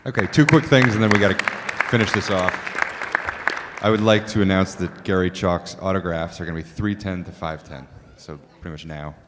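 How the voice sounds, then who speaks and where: A man making brisk announcements as a panel moderator. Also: low-pitched, crowd noise. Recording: distant in noisy crowded hall.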